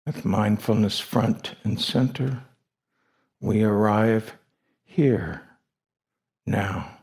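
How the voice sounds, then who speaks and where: A man with a low voice and a heavy creak guides a meditation. He speaks in short, deliberate phrases and leaves long pauses between them. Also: steady tone, a little mellow. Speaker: meditation teacher. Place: meditation retreat hall.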